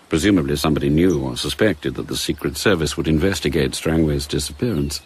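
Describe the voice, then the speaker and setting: An actor giving measured, grave scripted narration for a radio drama in a British accent. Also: male voice, a bass voice, breathy edge, heavily acted. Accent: British English.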